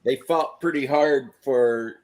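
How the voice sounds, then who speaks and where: A man's voice: mid-range voice, takes it slowly, a little mellow. A man chatting on a livestream, hesitant, full of fillers and trailing off. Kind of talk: casual chat.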